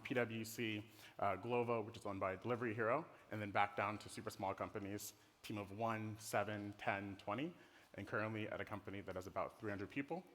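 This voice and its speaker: Low-voiced American man presenting in a hall. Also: fairly bright tone. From Netherlands. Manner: casual, playful, conversational. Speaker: conference speaker, design director. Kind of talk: informal conference presentation. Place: conference stage in a hall. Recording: reverberant hall.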